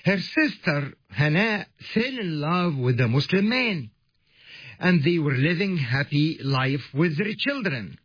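A man preaches on the radio in a slightly raised, husky voice that swoops up and down with feeling. He takes his time, stressing words earnestly, and the sound is dry and a little dull, like a lo-fi broadcast. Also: fairly high pitch, big pitch swings, no room sound. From Egypt. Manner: slow, emphatic, earnest preaching. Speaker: radio ministry host.

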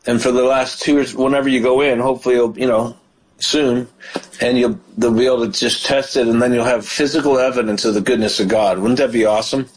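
A man's voice: mid-range voice, fairly even intonation, a little fast, slightly rough, low-quality recording. A man hosting a call-in prayer show as pastor, talking with callers and praying fervently with many hallelujahs. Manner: fervent, prays aloud with hallelujahs. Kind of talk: call-in ministry talk and prayer.

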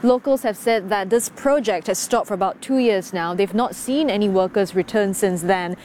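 A woman reporter delivering an on-location news piece in a clear, explanatory way. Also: mid-range voice, moderate pace, darkish tone, slight background noise.

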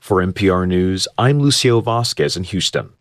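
A man regional reporter filing a news report with a husky voice and expressive delivery. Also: low voice, moderate pace, dry recording, studio quality.

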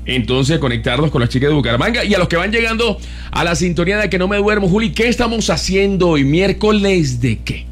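A Colombian man co-hosts a live radio program with casual banter. His voice is somewhat high for a man. There is faint music in the background. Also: expressive, fast. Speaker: radio co-host. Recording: music playing behind the voice.

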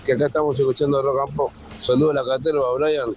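A man's voice: mid-range voice, flat delivery, fast talker, a little hiss or hum. An Argentine man leaving a friendly greeting message for a radio show.

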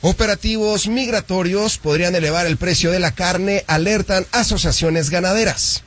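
A man with a notably high voice co-hosts a morning radio show. He is easygoing in the chat and turns formal, addressing the audience, when reading news in the studio. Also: normal speed, fairly bright tone. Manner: easygoing, laughs along, formal in headlines. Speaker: radio co-host, sports presenter.

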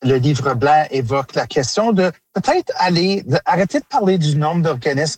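A man's voice: a little high, breathy edge, dry recording. A man speaking as an interview guest over a video call from a car, explaining things earnestly with frequent restarts. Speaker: guest, organization director. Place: in a car on the highway.

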